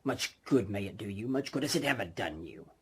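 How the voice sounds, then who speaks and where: A man's voice: lowish voice, animated, brisk, fairly bright tone, slight background noise. A man voicing a grim, miserly old man from a storybook, curt, grumbling and scornful, with a grating voice. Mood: stern, indignant. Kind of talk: storybook character voice.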